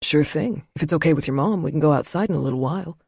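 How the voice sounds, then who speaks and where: A woman voicing a gruff young father just out of prison, speaking low and subdued in a husky voice. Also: fast talker, darkish tone, dry recording, clean studio sound. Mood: easygoing. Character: gruff young adult man, ex-convict father. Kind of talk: audiobook character voice.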